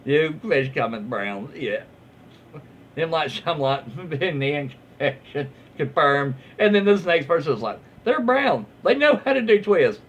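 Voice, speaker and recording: Southern guy reading forum posts for laughs, kinda raspy, voice swoops all over, easily cracked up. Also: on the high side, expressive, a little slow, fairly bright tone. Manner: easily amused, chuckles while reading. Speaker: host reading online stories aloud.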